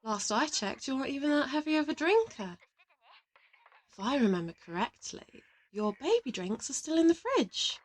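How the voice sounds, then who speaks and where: Female, slightly high voice, acting as a cocky, affectionate interrogator who teases her teammate in an audio roleplay. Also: very animated, normal speed. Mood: teasing. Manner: teasing, affectionate, boastful. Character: teasing, confident woman interrogator in an assassin team. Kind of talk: audio roleplay addressed to the listener.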